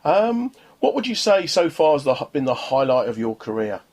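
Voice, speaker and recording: A man's voice: a little high, very animated, normal speed, a little mellow. A man hosting a casual radio interview, chatting in a rambling way with frequent tag questions. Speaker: radio show host.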